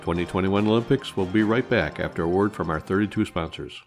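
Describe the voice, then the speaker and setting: A man's voice: low-pitched, fairly quick, loud music behind the voice. A man speaking as a sports broadcast commentator, handing over to a break. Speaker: sports commentator in a comedy sketch.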